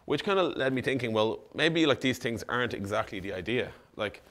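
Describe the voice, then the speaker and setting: A man's voice: medium pitch, expressive, brisk, fairly bright tone. A man in his thirties with a slightly worn voice gives an informal talk on stage, casual and full of asides and quoted dialogue. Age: 30s. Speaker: startup founder giving a conference talk. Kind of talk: informal presentation to an audience. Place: on stage in an indoor event room. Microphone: lapel mic.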